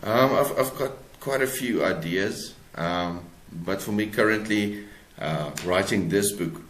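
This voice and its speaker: A man's voice: on the low side, unhurried, a bit bright. A South African man speaking hesitantly as an author being interviewed, in casual conversation. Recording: echoey, roomy sound.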